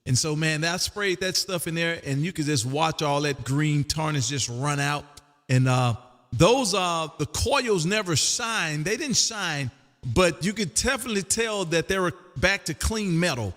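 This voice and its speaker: A man's voice: a little high, measured pace, soft background music. Exuberant man in a reverberant radio room. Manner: loud, exuberant, folksy, repeats himself. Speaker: amateur radio operator hosting a live stream. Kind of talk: casual ham radio chat. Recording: roomy, long reverb.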